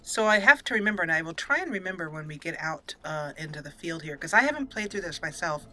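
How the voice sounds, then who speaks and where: A woman's voice: low-pitched, animated, fast talker, sharp tone. A woman hosting a let's play, giving casual, playful commentary and chatting to the game's characters. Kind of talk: casual live gameplay commentary.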